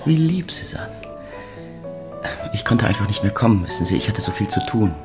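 A deep male voice that swings widely in melody, as a self-serving young social climber in a radio drama. He hedges and speaks intimately, sidestepping whatever is asked. Music plays behind him. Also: sing-song, moderate pace. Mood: smooth, evasive. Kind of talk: German radio play acting.

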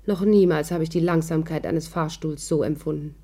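A composed woman recounts her adventure in a vintage radio play. Her voice is low, dark and somewhat husky. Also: fairly even intonation, normal speed. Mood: wry. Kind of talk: scripted first-person narration in 1950s radio play.

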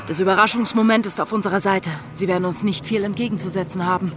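A woman's voice: medium pitch, fairly even intonation, fast talker, natural voice. An actress playing a cunning, commanding pirate woman in a German radio drama, urgent and theatrical. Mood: scheming, confident. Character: cunning young female pirate plotting a ruse. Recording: studio radio play with music behind.

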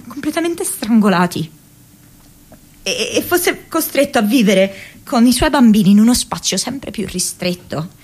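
An actress playing an earnest, distressed young woman activist in a radio monologue, impassioned and halting, repeating words as she goes. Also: fairly high pitch, a little mellow, slightly rough, heavily acted. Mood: anguished, tearful. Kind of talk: dramatic radio monologue from diaries and letters.

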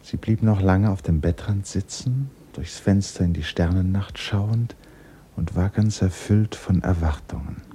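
An actor as a grave, intimate storyteller, narrating a literary radio play in a measured, hushed way. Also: male voice, sits low, a little hiss or hum.

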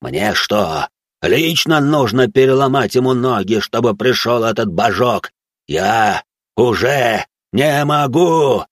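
A huge monstrous man acted by a narrator growls in a low voice. He broods and strains with impatience, groaning as if tormented, in dark audiobook style. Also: slow pace, no room sound, studio quality, exaggerated voice. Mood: tormented rage. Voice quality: growling, wheezy.

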